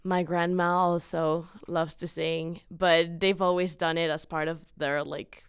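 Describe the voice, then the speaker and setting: A woman's voice: fairly low pitch, level tone, unhurried, clear, resonant tone, no echo, clean studio sound. A woman in her late teens from Mexico, giving enthusiastic, earnest, casual answers as an interview guest. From Cancun, Mexico. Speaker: podcast guest, student singer-songwriter.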